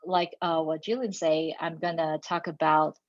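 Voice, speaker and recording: A young woman from Malaysia, a PhD student giving a relaxed, hesitant talk with many fillers. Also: sits low, moderate pace, no room sound, dull audio. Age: young adult. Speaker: guest speaker, PhD student. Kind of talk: casual lecture introduction. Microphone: video call.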